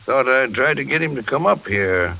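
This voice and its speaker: An actor playing a gruff man in his late fifties, a slyly threatening promoter in an old-time radio thriller. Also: medium pitch, animated, moderate pace. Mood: quietly menacing. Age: 50s. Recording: hissy old radio recording.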